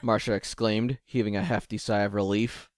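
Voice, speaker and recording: A man's voice: lowish voice, fairly even intonation, moderate pace, no room sound, studio quality. A man reading scripted third-person story narration as the narrator of an audio drama.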